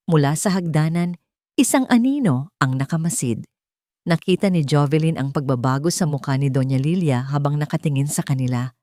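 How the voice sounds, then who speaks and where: A woman's voice: low-pitched, dark tone, dry recording, studio quality. A Filipino woman narrating a scripted love story as a dramatic storyteller.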